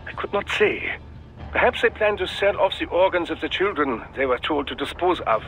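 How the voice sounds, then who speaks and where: Game scientist: elderly man, formal, exacting, sharp bright tone, slightly high. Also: expressive, soft background music, low-quality recording. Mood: clinical. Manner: formal, measured explaining.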